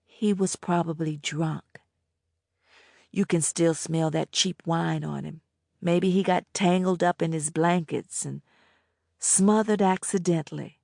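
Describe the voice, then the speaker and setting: A woman voicing a calm, casual young woman who speaks evenly and matter-of-factly in an audiobook. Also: low voice, creaky, dry recording, studio quality. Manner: flat, even, matter-of-fact. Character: cool, casual young woman, calmly unrepentant. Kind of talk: audiobook character voice.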